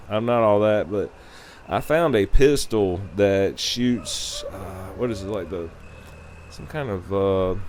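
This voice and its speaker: Slow-talking man, casual and halting. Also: on the low side, a little mellow, a little hiss or hum. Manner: halting, loose, unscripted. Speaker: gamer giving a game review. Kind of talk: casual, rambling game review.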